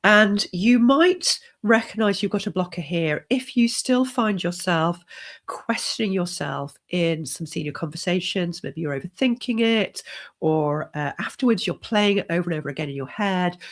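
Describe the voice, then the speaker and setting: Middle-aged woman, leadership coach with a cold, low gravelly voice, steady and encouraging. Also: moderate pace. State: has a cold. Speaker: leadership coach hosting a live stream. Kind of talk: solo live stream talk.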